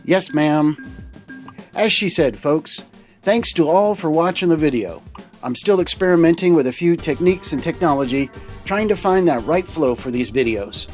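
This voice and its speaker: Slightly high male vlog host over music. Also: lively intonation, normal speed. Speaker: video host playing a trucker captain. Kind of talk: semi-scripted vlog narration.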